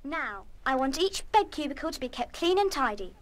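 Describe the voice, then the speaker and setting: A woman's voice: sits high, very animated, moderate pace. A woman playing a bossy older schoolgirl in charge of a dormitory, firm and officious, in a children's radio play. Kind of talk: full-cast children's radio play acting.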